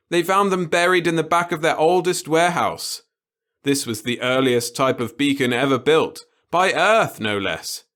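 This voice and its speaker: Man putting on a gloating old tyrant boss, raised pitch. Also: fairly bright tone, lightly acted. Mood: gleeful. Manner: gloating, browbeating, lecturing. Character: gleefully tyrannical old male boss of space troubleshooters.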